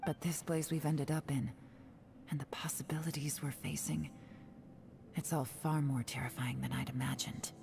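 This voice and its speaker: An actor playing a composed, secretive young woman investigator in a fantasy game, speaking in a measured, grave, guarded way. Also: low-pitched, little pitch movement, gravelly, music in the background. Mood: grave, hushed. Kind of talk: scripted video game cutscene acting.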